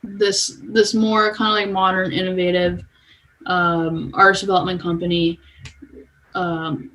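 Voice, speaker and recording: A woman's voice: on the low side, little pitch movement, measured pace, a bit bright, rough recording. A woman explaining things informally, as a guest in a casual interview. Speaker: podcast guest, music company manager. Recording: clear background noise.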